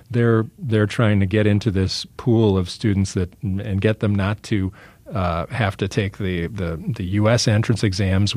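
A man hosting a public radio interview show, asking measured questions with restarts. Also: on the low side, a little mellow, dry recording. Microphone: studio microphone.

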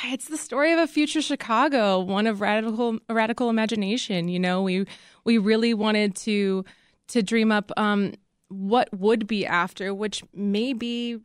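A woman with a very clear, smooth voice talks as an interview guest. She is casual and expressive, recorded close in a dry studio. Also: on the high side, no room sound. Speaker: interview guest, audio drama co-creator.

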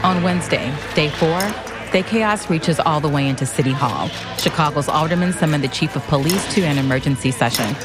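Low-voiced woman narrating a radio documentary drama. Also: music in the background. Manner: measured, grave, short phrases.

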